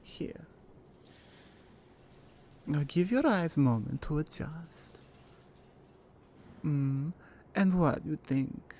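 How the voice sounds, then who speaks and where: A woman voicing a seductive, menacing Russian crime family member with a Russian accent, teasing and commanding in an intimate ASMR roleplay, her breathy murmur sliding into whispers. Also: low-pitched, warm tone, exaggerated voice. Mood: teasing, confident. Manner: slow, deliberate, dominant and teasing.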